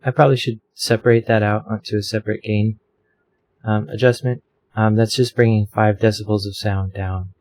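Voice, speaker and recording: A man's voice: on the low side, flat delivery, normal speed, darkish tone. A man casually explaining his audio filter settings from a home desk microphone, rambling with lots of fillers. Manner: rambling, self-deprecating, many fillers. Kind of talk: casual tutorial. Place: home room with a fan running.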